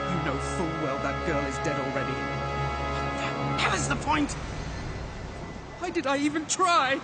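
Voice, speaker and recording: A man voicing an idealistic young soldier-mage who speaks haltingly and with passion, sliding into despair in an animated game. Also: high-pitched. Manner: halting, impassioned. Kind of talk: dramatic animated game voice acting. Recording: loud orchestral music behind.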